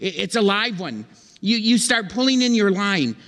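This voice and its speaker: A man's voice: high voice, big pitch swings, normal speed, fairly bright tone. A man playing a flustered, pleading stranded ice fisherman in an old-time radio comedy sketch, stammering dramatically and repeating himself. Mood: excited. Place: theatre stage. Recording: echoey theatre hall with long reverb.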